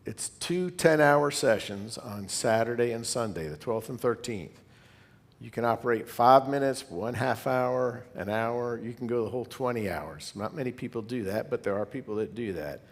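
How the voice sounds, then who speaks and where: A man speaks in a big reverberant space, his words trailing a long echo. He presents to fellow hobbyists as a club member would, informally and conversationally, drifting into asides. His pitch sits in an ordinary middle male range. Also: moderate pace, vocal fry.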